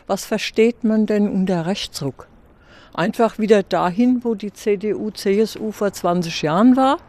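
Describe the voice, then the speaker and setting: A woman's voice: mid-range voice, normal speed, a little mellow. A woman politician and local party spokeswoman, speaking assertively with rhetorical questions in an outdoor interview.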